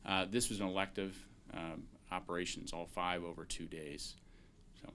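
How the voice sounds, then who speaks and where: A man speaking as a transplant doctor at a press conference, measured and peppered with filler sounds. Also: deep voice, steady tone, a bit bright, slight background noise.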